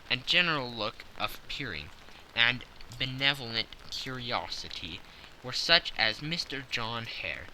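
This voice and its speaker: A male narrator reads literary prose for an audiobook in a very bright, forceful voice. His pitch moves in lively sweeps while the pace stays slow, with faint rain noise behind him. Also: low-quality recording.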